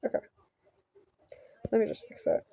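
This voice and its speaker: A woman reading visual novel text aloud in an amateur let's play, halting and often repeating words as she goes. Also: mellow, no echo.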